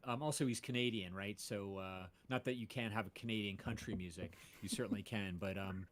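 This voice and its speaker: A man's voice: on the low side, fairly even intonation, a little fast, a little hiss or hum. A man, a podcast host, chatting casually about music with many fillers and restarts.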